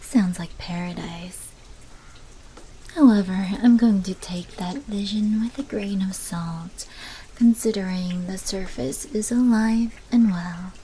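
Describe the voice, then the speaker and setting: A woman as a slow, mellow female vampire henchwoman. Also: medium pitch, slight background noise, natural voice, rough recording. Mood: curious, wry. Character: female vampire mafia underling, obedient yet wry. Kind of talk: scripted fantasy audio roleplay.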